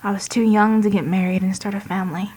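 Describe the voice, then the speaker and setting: A woman's voice: medium pitch, fairly even intonation, fast, darkish tone, husky, natural voice. A woman acting in an audio drama as a bitter, anguished young wife who wants out of motherhood. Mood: tearful, resigned. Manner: bitter, emotional.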